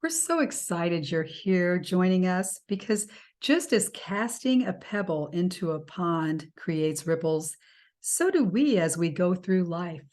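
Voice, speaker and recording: Slow-spoken woman hosting a talk show. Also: on the low side, expressive. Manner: gentle prompting, laughs along. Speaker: host.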